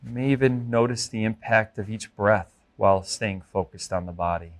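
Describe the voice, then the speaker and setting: A man with a fairly low voice leads a guided meditation as an instructor. His delivery is gentle and measured, giving clear instructions in a careful, deliberate way. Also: level tone. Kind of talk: guided body scan meditation.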